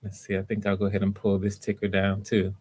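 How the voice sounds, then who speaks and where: A man's voice: deep voice, monotone, quick pace, a little mellow, husky. A man hosting live voice auditions, talking casually and encouragingly to the people taking part. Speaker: host running voice auditions. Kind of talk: casual livestream talk.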